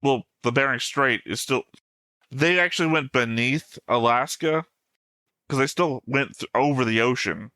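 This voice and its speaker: A man's voice: medium pitch, normal speed, bright, forceful tone, no echo. A man in a casual fan discussion as a show co-host, talking in a pedantic, insistent way.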